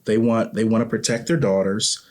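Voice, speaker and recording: Lower-voiced man, thoughtful interview guest. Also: fairly even intonation, normal speed. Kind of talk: casual reflective interview talk.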